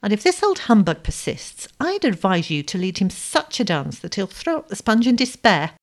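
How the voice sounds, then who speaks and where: A woman voicing an eager young gentleman in love, earnest, in a story reading. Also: medium pitch, big pitch swings, moderate pace. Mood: indignant.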